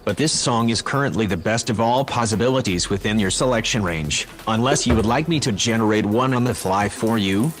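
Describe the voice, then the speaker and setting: A synthetic male voice playing a calm, helpful AI house assistant in a sci-fi audio drama, courteous and formal. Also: fairly low pitch, fairly quick, few pauses, faint music behind, close to an everyday voice. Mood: polite, helpful. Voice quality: synthetic text-to-speech sound.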